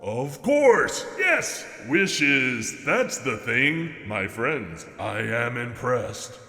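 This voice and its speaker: A theatrical demon , acted out by a man, declaims in grand rhyme, haughty but courtly. The pitch swings widely and rings in a long reverb. Music plays behind this storybook villain. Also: very animated, drawn-out words, bright, forceful tone, exaggerated voice. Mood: delighted, flattering. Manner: grandiloquent, rhyming, exclamatory speech. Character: theatrical demon, haughty at first, then genial and flattering. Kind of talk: dramatic poem reading.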